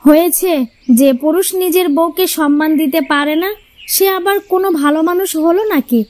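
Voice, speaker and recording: Woman acting a high-voiced, sharp-tongued cartoon housewife. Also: fairly even intonation, fairly quick, no echo. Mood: disapproving. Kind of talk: Bengali cartoon fairy-tale voice acting.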